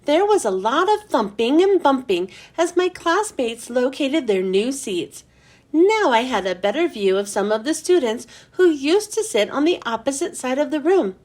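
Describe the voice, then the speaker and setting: A woman reading a children's chapter book aloud in an expressive storytelling manner. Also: sits high, moderate pace. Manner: expressive, sing-song storytelling.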